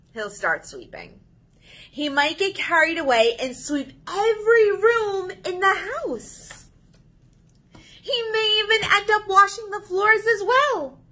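A woman in her thirties leading a children's story time, reading aloud in an animated, sing-song way to young listeners. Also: high-pitched. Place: small indoor room.

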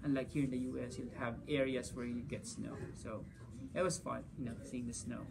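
A man's voice: medium pitch, fairly even intonation, fairly quick, vocal fry. Man speaking in a noisy room. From Philippines. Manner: gestures while talking, frequent "you know" and "like". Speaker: physics teacher. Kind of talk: casual interview answers. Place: school conference room. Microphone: camera across the table.

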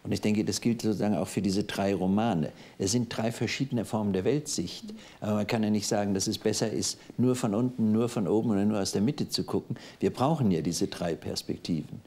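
A man in his sixties with a low, warm, mellow voice hosts a literary discussion on TV. He talks in a thoughtful, wandering way, pausing with frequent hesitations. He is heard in a studio before a live audience. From East Germany. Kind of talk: televised panel discussion.

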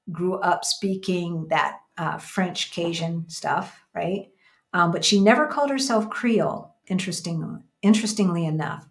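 An American woman in her fifties co-hosting a casual livestream from a room at home, talking emphatically and repeating phrases for emphasis. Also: on the low side. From United States. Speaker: co-host of a livestream. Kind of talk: casual livestream chat, reading out viewer comments. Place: small indoor room at home.

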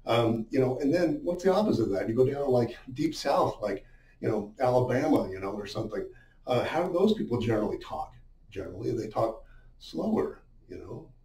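Male business coach, middle-aged, mid-pitched mellow voice, chatty explainer style, recorded in a roomy, echoing space. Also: sing-song, brisk. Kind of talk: informal instructional talk at a whiteboard. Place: small indoor room with a whiteboard. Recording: roomy, muffled, distant-sounding echo.

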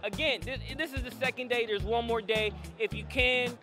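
A man in his thirties hosting a casual convention interview into a handheld mic, slangy and animated, repeating phrases for emphasis. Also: very high voice, moderate pace, music in the background. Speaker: show host interviewer. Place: indoor convention hall booth. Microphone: handheld microphone.

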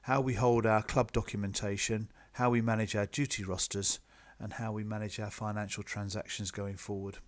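A man presenting club business to members in a measured, businesslike, explanatory way, partly from a script. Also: fairly low pitch, flat delivery. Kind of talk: slide presentation, semi-scripted explanatory talk.

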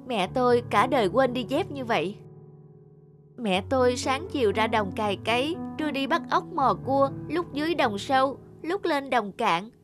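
A woman voicing a devoted, earnest teenage student from a poor family in an animated moral tale, polite and youthful in manner. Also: high-pitched, normal speed, music in the background. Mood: heartfelt.

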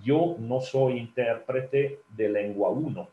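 A man whose first language is Italian lectures students over a video call in a slow, halting way. He fills pauses with ums and restarts, and often turns to ask the audience questions. His mid-pitched voice sounds roomy and echoey. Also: phone-quality sound. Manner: hesitant, many fillers and restarts, asks the audience. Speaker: telephone interpreter giving a talk.

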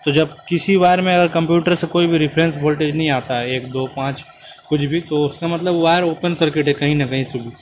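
A man's voice: on the high side, brisk, a little hiss or hum. A young man, a car mechanic, explains a repair step by step while he works on an engine, filmed close on a phone. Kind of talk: hands-on repair tutorial. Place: inside a car and at the open engine bay, outdoors.

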